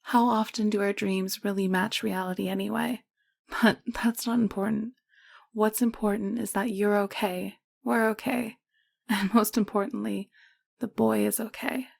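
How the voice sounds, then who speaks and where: A woman acting in an audio drama as a caring, gently firm caretaker who coaxes and reassures in a hushed way. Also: medium pitch, level tone, normal speed, vocal fry. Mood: tender, reassuring. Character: caring, soothing woman named Allie, gently teasing and firm.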